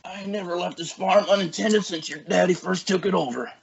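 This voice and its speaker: A man's voice: sits high, steady tone, a little fast, creaky. A man voicing a weak, dying old farmhand with folksy rustic speech in an amateur table read. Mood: weak, shaken. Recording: dull lo-fi audio with faint noise.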